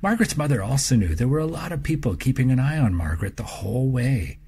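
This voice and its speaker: A man with a very husky, creaky voice narrates a storybook for young children. His pitch moves up and down in a lively way. He tells the story deliberately and expressively. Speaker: author reading own children's story.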